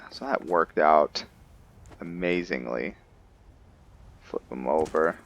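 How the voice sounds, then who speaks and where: Male gamer, low register, slow delivery, casual play-by-play with muttered, halting asides. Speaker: gamer hosting a roleplay playthrough. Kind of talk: casual game commentary, reading in-game text aloud.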